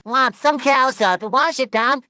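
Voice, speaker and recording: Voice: a little slow, pushed and bright, no echo, clean studio sound. A performer voicing a pushy, chirpy little cartoon coffee salesman with cheerful menace, in a perky ad-skit delivery. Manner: perky, insistent sales pitch. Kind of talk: old TV ad skit dialogue.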